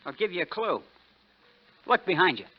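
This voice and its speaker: An actor playing a blunt, flippant, resentful young stepson with a hangover in a 1940s radio drama. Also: male voice, sits high, very animated, normal speed. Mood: bitter, sardonic. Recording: muffled, noisy 1940s radio recording.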